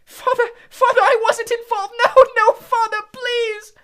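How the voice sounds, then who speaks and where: A man's voice: very high voice, very animated, takes it slowly, pitched above the performer's own voice, full character voice. A man voicing a terrified young man in chains, shrieking and pleading. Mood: desperate.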